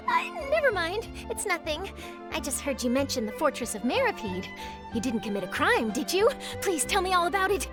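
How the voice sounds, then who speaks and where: A very high-voiced, perky young reporter. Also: female voice, wildly swooping pitch, normal speed, exaggerated voice. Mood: nosy, eager. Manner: perky, theatrical, gushing, dramatic sighs and exclamations. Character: eager, scoop-hungry young female newspaper journalist, theatrical. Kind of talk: anime-style video game voice acting. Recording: game music behind the voice.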